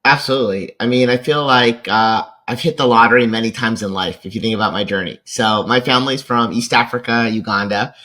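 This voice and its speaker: An American man in his forties speaks as a guest in a conversational interview. His pitch sits in an ordinary middle range for a man, and his manner is enthusiastic, often tagging points with a quick check for agreement. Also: bright, forceful tone. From rural Kentucky, United States. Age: 40s. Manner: enthusiastic, peppers points with "right?". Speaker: podcast guest, venture capitalist and lecturer.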